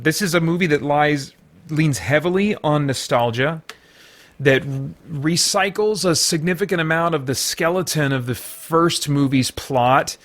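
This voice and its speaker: An American man hosting an unscripted movie review in a halting way, searching for words and letting thoughts trail off. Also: on the high side, fairly bright tone. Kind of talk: unscripted, off-the-cuff film review.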